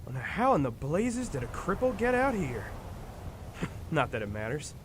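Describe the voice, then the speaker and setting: A man's voice: on the high side, sing-song, normal speed, slight background noise. A man playing a proud, hot-tempered young Apache hunter in an audio drama, brash and scornful, talking in folksy Western slang. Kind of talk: dramatic comic-book audio drama acting.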